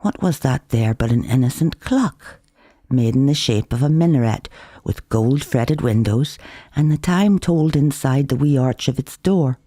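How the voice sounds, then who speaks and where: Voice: mid-range voice, measured pace, warm tone, no room sound. Wry Northern Irish storyteller, dry close recording. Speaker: author reading own novel. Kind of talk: dramatic literary audiobook narration.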